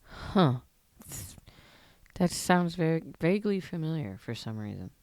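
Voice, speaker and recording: Lively-pitched, mellow co-host. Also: measured pace, dry recording. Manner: curious, brief questions. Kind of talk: casual chat.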